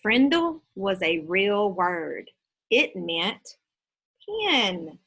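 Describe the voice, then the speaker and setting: A woman reading a children's story aloud in an expressive, teacherly storytelling style. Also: mid-range voice, extremely slow, husky.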